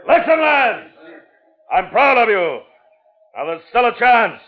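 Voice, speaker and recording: A man's voice: extremely high pitch, sing-song, slow pace, full character voice. Through a narrow, phone-like old radio recording comes a commanding plantation owner. He is defiant and grimly stubborn, with clipped, authoritative delivery in classic 1950s radio drama style. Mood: rousing.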